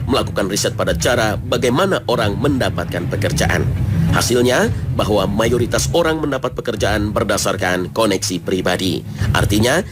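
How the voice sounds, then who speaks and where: Male narrator, lowish voice; formal, measured religious documentary read with prominent music underneath. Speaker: narrator of an Islamic TV programme. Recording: music behind the voice. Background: loud background music.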